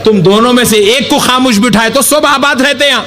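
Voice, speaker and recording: High-voiced young preacher telling stories. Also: male voice, normal speed. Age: young adult. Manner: humorous, animated storytelling. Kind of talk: storytelling sermon. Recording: live gathering with audience noise. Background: audience reactions.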